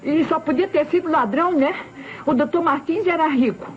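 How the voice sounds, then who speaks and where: A woman's voice: sits high, moderate pace, lightly acted. A woman playing a nervous, deferential housemaid in an old radio mystery, stammering and repeating herself with a Brazilian accent. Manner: stammering, repetitive, servile. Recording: muffled old radio recording, music.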